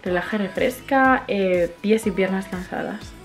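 A woman's voice: mid-range voice, a little slow, faint music behind. Chatty young woman vlogging from a hotel. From Spain. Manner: chatty and enthusiastic, full of fillers and little diminutives. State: stuffy from allergies. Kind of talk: casual vlog chat to camera. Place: hotel room and outdoors in a city. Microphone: handheld camera at arm's length.

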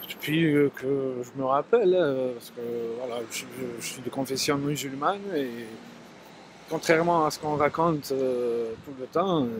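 A man's voice: mid-range voice, moderate pace, noisy background. A man in his thirties interviewed outside a shop at night, speaking earnestly and affirming his answers. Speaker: passerby interviewee. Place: shopfront on a city street at night. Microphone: handheld mic.

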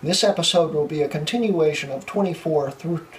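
A man's voice: on the high side, brisk. A young man hosting a tech vlog, stumbling through retakes, laughing at his mistakes and losing his voice. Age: young adult. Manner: flustered, laughing at his own slip-ups. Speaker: tech channel host. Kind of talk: blooper reel of a tech vlog. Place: small home room full of computers. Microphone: camera mic from a few feet away. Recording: distant camera mic, faint room hiss.

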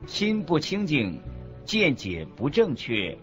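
Animated male narrator, muffled audio. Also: fairly high pitch, slow pace. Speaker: narrator of a Buddhist teaching radio program. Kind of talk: scripted didactic narration in a radio program. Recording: muffled lo-fi audio with music underneath. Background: background music.

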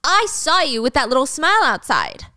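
Combative young man in a story retelling, played by a woman: pointed, accusing, pitched up high. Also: huge pitch swings, normal speed, pushed and bright, no echo, studio quality, heavily acted. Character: loyal combative young man backing his girlfriend.

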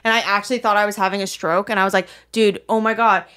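A woman's voice: medium pitch, fairly even intonation, fast, a bit bright, smooth, no echo, studio quality. A young American woman chatting casually on a comedy podcast, blunt and dramatic in her storytelling, with plenty of swearing. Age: young adult. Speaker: podcast co-host. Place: small room indoors. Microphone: close podcast mic.

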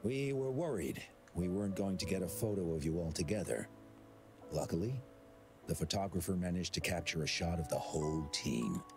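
A kindly game official who looks back fondly on the past. His voice is very low and gravelly, and his pitch rises and falls in a lively way. Game music plays clearly under him. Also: normal speed. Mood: reflective. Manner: soft, reflective, nostalgic. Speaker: game character.

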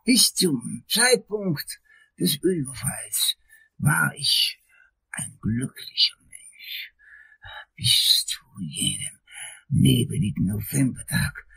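A man playing an anxious, long-winded lawyer in a crime radio play, telling his story in a halting, melodramatic, husky and creaky voice. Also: medium pitch, animated, sharp tone, whispered, heavily acted, rough recording. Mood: ominous, dramatic. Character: anxious, long-winded male lawyer recounting a night attack.

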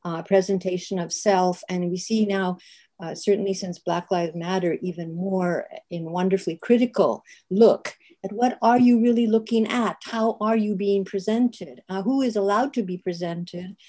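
A woman's voice: mid-range voice, fairly even intonation, moderate pace, warm tone. An older woman speaking on a video call from a book-lined office, a panel guest talking in a thoughtful, searching way with many restarts. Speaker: artist and psychiatrist guest. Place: home office with bookshelves. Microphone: video call webcam.

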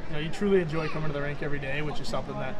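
A young man, a hockey goaltender, answering postgame press questions in a quick, upbeat way, full of "you know" fillers. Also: a little high, steady tone, crowd noise, low-quality recording. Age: young adult. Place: arena interview backdrop, indoors.

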